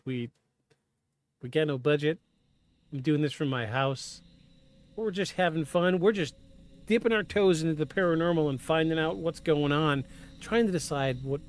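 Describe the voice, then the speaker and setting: Male podcast host, fairly high voice, effusive, mixes scripted narration and casual asides. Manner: effusive, repeats himself for emphasis. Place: at home. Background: music.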